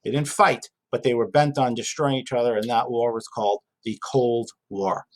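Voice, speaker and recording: A man teaching an informal reading and history lesson at an average pitch. He talks conversationally, poses questions and answers them himself, and repeats key phrases for emphasis, dropping in casual asides. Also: steady tone, normal speed. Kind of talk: informal spoken lesson, explaining history and reading a passage aloud.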